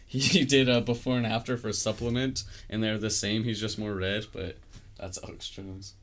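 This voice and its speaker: A man's voice: lowish voice, flat delivery, normal speed, a bit bright. A man in casual, crude chat with friends who reads comments aloud and laughs often.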